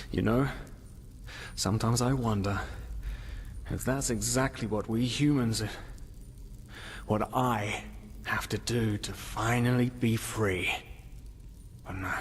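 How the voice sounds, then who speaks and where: An actor playing a despairing, half-mad prisoner in a video game, weary and fatalistic, brooding in halting, philosophical lines. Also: male voice, fairly low pitch. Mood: weary, resigned. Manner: brooding, halting, philosophical, with sighs and whispery trailing words. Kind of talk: dramatic video game dialogue acting. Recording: long hall reverb, noisy ambience.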